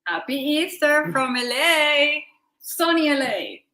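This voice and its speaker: A woman's voice: high-pitched, animated, slow, bright, forceful tone. A woman from Venezuela, a visual artist, answering casually and in a friendly way as a guest.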